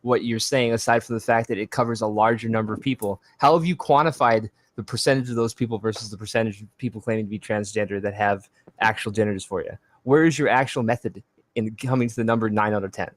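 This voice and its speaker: Deep voice, online debate, blunt profane rebuttals, dry close mic. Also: quick pace, no room sound. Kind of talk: heated online debate over voice chat.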